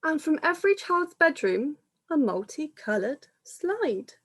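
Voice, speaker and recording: A woman, a primary school teacher, reading a picture book aloud to young children in a measured, explanatory manner. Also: high-pitched, very animated.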